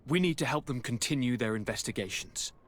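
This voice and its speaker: A man playing an affectionate young governor in a video game, with a theatrical, lively manner. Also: mid-range voice, a little fast, close to an everyday voice. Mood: pensive, troubled. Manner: theatrical, lively, swinging to whispers. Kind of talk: video game cutscene acting.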